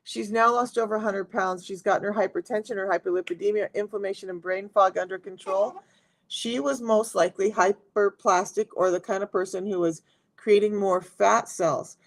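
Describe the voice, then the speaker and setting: A middle-aged woman with a raspy voice, chatting casually to viewers on a live stream as a health coach. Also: mid-range voice, fairly even intonation, moderate pace. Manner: chatty, talks straight to viewers.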